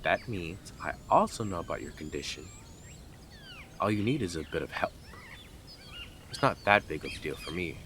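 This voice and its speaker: A man's voice: low voice, lively intonation, moderate pace, pauses often, a bit bright, slight background noise. A man playing a blunt, sarcastic, teasing stalker who is devoted to the listener in an intimate roleplay audio drama. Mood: matter-of-fact, coaxing.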